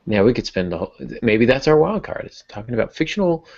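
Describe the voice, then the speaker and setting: A man's voice: medium pitch, sing-song, quick pace, darkish tone. A man moderating a podcast in casual conversation, thinking aloud as he makes his points. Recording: dull, lo-fi podcast audio.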